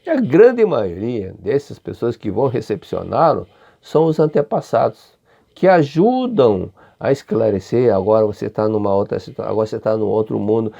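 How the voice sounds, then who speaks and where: Older male religious lecturer, Brazilian Portuguese accent, low gravelly voice, unhurried and repetitive. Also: warm tone, dull audio. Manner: unhurried, repeats and restarts phrases.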